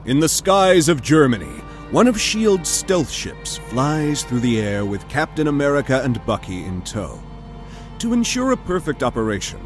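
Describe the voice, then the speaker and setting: A man narrating an audio drama in a scripted, dramatic storytelling style. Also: medium pitch. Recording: music behind the voice.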